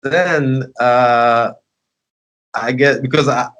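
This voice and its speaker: A man's voice: mid-range voice, steady tone, very slow, a bit bright, highs cut off. A man in his twenties from Tanzania, a bilingual rapper and native Sinhalese and Swahili speaker, talking casually as an interview guest. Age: 20s.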